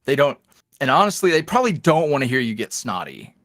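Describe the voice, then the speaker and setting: Male podcast guest, middle-pitched and very expressive, casual and rambling, self-deprecating, recorded dry. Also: fast, dry recording. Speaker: podcast guest, ASMR roleplay voice creator. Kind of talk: casual interview chat.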